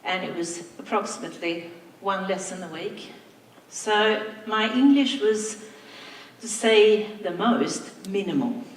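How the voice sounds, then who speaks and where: An older woman from Sweden, a native Swedish speaker, giving a slow, reflective anniversary speech to school staff as their principal. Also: mid-range voice, lively intonation. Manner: slow, reflective, warm thanks with soft laughs. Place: large hall, on stage. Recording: echoey hall from far off.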